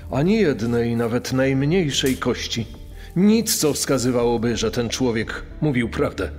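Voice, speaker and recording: An actor playing a retired investigator giving measured, matter-of-fact testimony in a true-crime podcast. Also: male voice, mid-range voice, lively intonation, darkish tone, natural voice. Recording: long reverb, music underneath.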